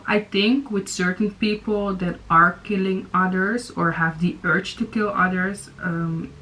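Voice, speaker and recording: A woman's voice: medium pitch, fairly even intonation, unhurried, pushed and bright, poor audio. A young woman hosting a reaction video, talking casually with many fillers and restarts. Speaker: YouTube reaction host.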